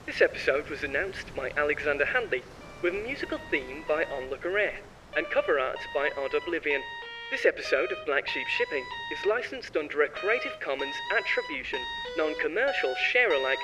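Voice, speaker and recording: A man reading a scripted announcement in a formal, measured manner as an announcer. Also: on the high side, very animated, recorded from a distance, over music, low-quality recording.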